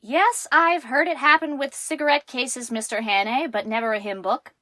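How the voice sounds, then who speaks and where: An actress playing a chatty, jovial long-serving sheriff in an audio play. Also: female voice, high-pitched, moderate pace, dry recording. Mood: jovial, amazed. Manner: animated, chatty.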